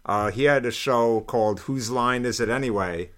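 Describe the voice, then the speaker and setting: Relaxed friendly guy interviewing, smooth clear voice, little stammers here and there. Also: medium pitch, normal speed. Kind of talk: casual interview.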